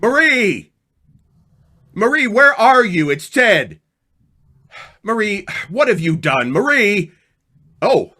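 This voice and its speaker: A woman playing an anxious, flustered husband in an old-time radio play, husky and stammering. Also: mid-range voice, big pitch swings, slow pace, long pauses, bright, forceful tone, heavily acted. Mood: desperate. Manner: stammering, agitated. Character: anxious, flustered husband cornered by police questions.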